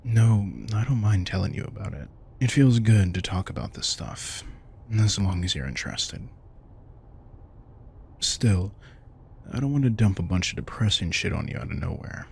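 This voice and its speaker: Low, gravelly vampire king played by a man. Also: normal speed, slight background noise. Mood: reflective, reassuring. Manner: casual, reflective, swearing, addresses listener directly. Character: centuries-old vampire king, wry, protective, fond mentor. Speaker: audio roleplay performer. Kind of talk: intimate scripted audio roleplay, conversational monologue.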